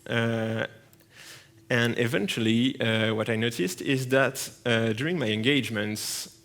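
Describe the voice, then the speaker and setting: Man, French accent, conference speaker, gravelly edge, halting delivery. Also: medium pitch, a bit bright. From France. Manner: halting, many fillers and restarts. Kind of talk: technical conference talk. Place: conference hall.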